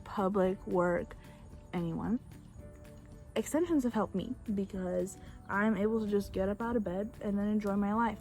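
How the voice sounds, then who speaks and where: Young female beauty vlogger, Bulgarian first language, higher-pitched and chatty, self-deprecating, phone-recorded outdoors. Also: a little mellow, poor audio. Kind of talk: casual makeup tutorial chat. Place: outdoors on a back porch. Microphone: phone camera.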